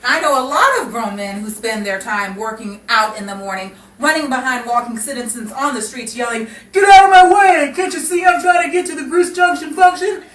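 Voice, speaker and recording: A woman's voice: high voice, brisk, hardly any pauses, fairly bright tone. A woman performing as announcer and cast member of a live show, announcing and bantering. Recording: echoey distant mic, noisy with crowd.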